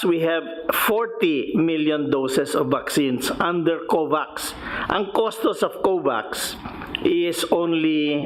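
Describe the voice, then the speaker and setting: A man's voice: a little high, poor audio. A man with a Filipino accent giving an explanatory official report as a government secretary, methodically going point by point. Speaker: finance secretary presenting.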